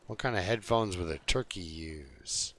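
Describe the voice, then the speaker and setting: A man's voice: low-pitched, animated, a little slow, fairly bright tone. A man live streaming a video game, chatting casually and jokingly to his viewers in an easygoing, rambling way.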